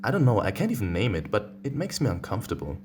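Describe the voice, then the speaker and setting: A man's voice: on the low side, wildly swooping pitch, quick pace, background noise. A man voicing a cute, anxious male wolf from a visual novel, earnest and hesitant. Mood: uneasy.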